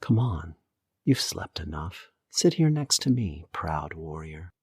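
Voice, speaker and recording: A man's voice: low voice, brisk, no echo, studio quality, lightly acted. A man voicing a passionate, anxious young noblewoman in a storybook, pleading and emotive. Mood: amorous, pleading. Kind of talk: dramatic storybook reading.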